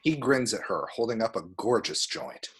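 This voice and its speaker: Guy reading out script stage directions, bit of a husky voice. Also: fairly low pitch, lively intonation, normal speed. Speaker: reader of screenplay action description. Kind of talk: scripted narration at an amateur table read.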